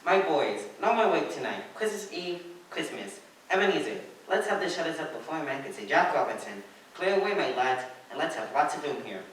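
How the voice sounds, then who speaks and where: Young man as jolly old gentleman, very echoey. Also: on the high side, sing-song, fairly quick, few pauses. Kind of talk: student radio play acting. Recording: very echoey room, distant mic.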